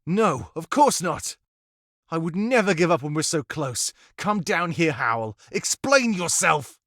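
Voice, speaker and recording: Lively earnest young rebel leader, kinda high voice, dry close mic with music. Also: male voice, a little slow, dry recording, heavily acted. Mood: alarmed. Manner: encouraging, urgent, expressive. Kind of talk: visual novel voice acting.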